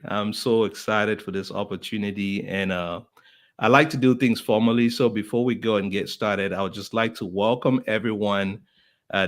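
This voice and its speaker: Chatty lower-voiced podcast host. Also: male voice. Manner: chatty, friendly, lots of fillers; greets viewers by name. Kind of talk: casual live-stream welcome.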